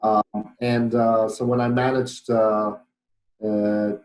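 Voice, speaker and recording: A man's voice: fairly low pitch, level tone, slow pace, a little mellow, echoey room, poor audio. A middle-aged man with a Polish accent, a podcast guest who talks thoughtfully with many fillers and restarts. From Poland. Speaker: guest, business owner. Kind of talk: podcast interview.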